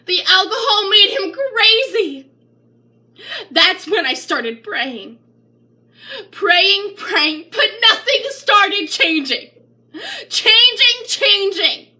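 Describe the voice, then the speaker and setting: A woman's voice: very high voice, animated, extremely slow, pauses often, sharp tone, voice pushed up, heavily acted. A woman performing a bitter, anguished young mother who has lost faith, in dramatic spoken-word poetry with pleading, defiant outbursts.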